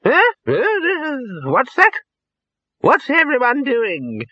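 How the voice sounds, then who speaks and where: High, doddering old wizard. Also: male voice, huge pitch swings, drawn-out words, bright, forceful tone, husky, dry recording, highs cut off, heavily acted. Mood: muddled. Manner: muddled, querulous. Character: doddering, confused old male wizard in a wheelchair.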